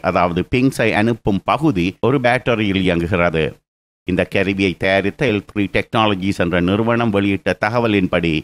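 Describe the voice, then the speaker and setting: A man reading scripted news explainer narration in a measured, explanatory way. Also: fairly low pitch.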